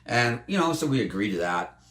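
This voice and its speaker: A slightly hoarse man telling a tabletop game story in a casual, animated way. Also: fairly quick. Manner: animated, jumps up in pitch for emphasis. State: slightly hoarse, losing his voice. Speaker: tabletop game player recounting a campaign. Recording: roomy, echoey room.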